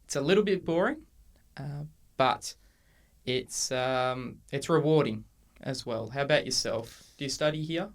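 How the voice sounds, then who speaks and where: Relaxed Australian man in his twenties. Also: mid-range voice, a little slow. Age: 20s. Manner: relaxed, hesitant with many ums and restarts. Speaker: podcast co-host. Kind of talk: casual conversational podcast. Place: university room.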